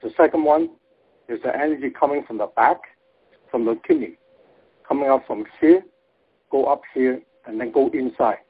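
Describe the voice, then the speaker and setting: A man's voice: medium pitch, normal speed, long pauses, slightly rough. A man explaining energy healing to a group in plain, simple phrasing, heard over a phone-line webinar.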